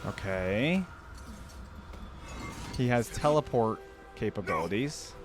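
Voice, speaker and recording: A man's voice: lowish voice, animated, takes it slowly. A man hosting a reaction video, commenting casually and musing aloud in questions. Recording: music playing behind the voice.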